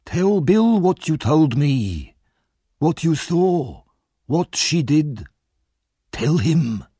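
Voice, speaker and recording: A sinister old crime boss for an audiobook. The voice is pitched high and swings up and down, drawing words out slowly as it wheedles with barely hidden hatred. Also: male voice, very animated, mellow, slightly rough, no echo, studio quality, heavily acted. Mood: grimly urging. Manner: slow, drawn-out, insinuating. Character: sinister scheming old male gang boss, hateful and wheedling.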